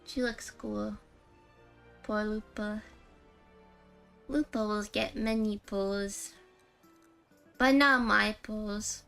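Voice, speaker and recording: A woman's voice: mid-range voice, steady tone, extremely slow, gravelly, pauses often, pushed and bright, faint music behind. A woman livestreaming a game, chatting casually and ramblingly with her viewers. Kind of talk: casual livestream chat while gaming.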